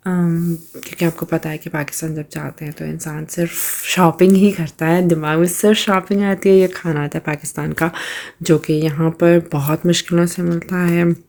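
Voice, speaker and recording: A woman in her thirties with a Pakistani Urdu accent, narrating her daily routine in a casual voice-over while hoarse from a cold, with hesitations and throat clearing. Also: on the low side, fairly even intonation, normal speed, a little mellow. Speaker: vlogger.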